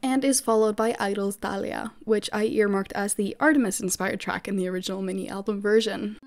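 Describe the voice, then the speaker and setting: A woman's voice: mid-range voice, steady tone, brisk. A woman giving a scripted, opinionated voice-over about her fan picks for a music group. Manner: opinionated, chatty, fan-enthusiast delivery. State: recovered from losing her voice. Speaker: K-pop fan video creator.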